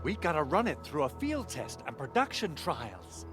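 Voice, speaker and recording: A man voicing an eager, excitable young engineer in video game story dialogue. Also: fairly high pitch, normal speed. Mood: excited, earnest. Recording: music behind the voice.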